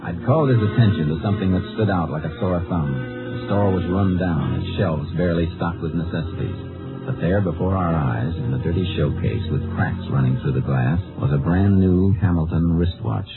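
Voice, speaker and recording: A thin, band-limited old radio recording of a tough American lawman with a low voice. He is terse, hard-boiled and sure of himself, in classic 1950s radio drama style. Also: normal speed, phone-quality sound, loud music behind the voice. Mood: grim narrating. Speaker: lead actor and narrator.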